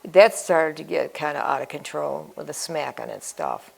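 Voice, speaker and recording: An older woman with an American accent tells her own story in an interview. Her voice sits low and is heavily creaky and gravelly. She speaks plainly, in short clipped fragments. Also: moderate pace. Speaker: interview guest. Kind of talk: personal life-story interview.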